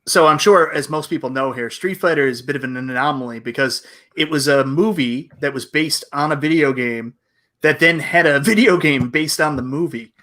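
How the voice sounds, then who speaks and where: A man's voice: fairly high pitch, normal speed, sharp tone. A man speaking as a panelist in casual, explanatory chat on a live show.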